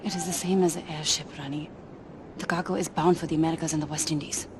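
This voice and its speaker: A woman's voice: low voice, fairly even intonation, quick pace. A woman playing a wary, loyal rebel informant with an Indian accent, reporting urgently in a video game. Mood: urgent, secretive. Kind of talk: dramatic video game acting. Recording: game scene with noisy ambience.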